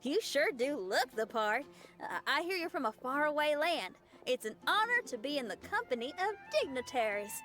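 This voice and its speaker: A woman's voice: high voice, very animated, normal speed, sharp tone, heavily acted. A woman playing a friendly, casual teenage girl musician in a video game, with music underneath. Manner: easygoing, informal.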